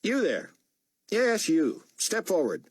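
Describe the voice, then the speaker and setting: A man voicing a brisk man who gives curt commands while quietly helping a prisoner. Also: wildly swooping pitch, breathy edge, dry recording, clean studio sound, exaggerated voice. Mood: stern. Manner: curt, commanding, low-key. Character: brisk male journalist posing as an officer, secretly kind.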